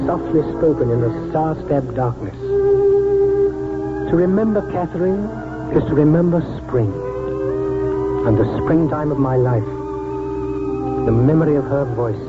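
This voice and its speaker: Wistful old-time radio doctor, tinny. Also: male voice, on the high side, animated. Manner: measured, poetic delivery with deliberate pauses. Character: wistful aging village doctor remembering his lost young wife. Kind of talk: 1940s radio drama acting, first-person narration. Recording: tinny 1940s radio broadcast, loud music.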